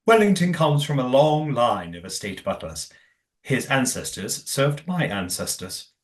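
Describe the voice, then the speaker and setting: A man acts out a courteous, formal master of a secretive manor for an audio play. His voice sits at a middling male pitch and swings expressively through measured, precise sentences. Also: very animated.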